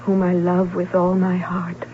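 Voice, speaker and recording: Actress doing solemn young noblewoman, eerily calm and stubborn, old radio play, faint hiss, muffled. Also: on the low side, measured pace, lightly acted. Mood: rapt longing. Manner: solemn, resolute, dramatic. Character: lovelorn, defiant young noblewoman, eerily serene. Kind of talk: old-time radio drama acting.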